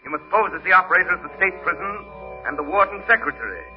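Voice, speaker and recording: An actor playing a mysterious, resolute crime fighter with a measured, intense manner in 1940s radio drama style. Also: male voice, sits high, lively intonation. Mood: urgent, instructing. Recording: dull old radio recording, music behind.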